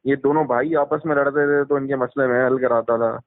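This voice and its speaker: A man's voice: medium pitch, steady tone, a little fast, no echo. A young man chatting casually and telling stories in slangy speech, quoting people as he goes, recorded over a phone call. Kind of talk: casual chat, telling anecdotes from youth. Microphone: phone line.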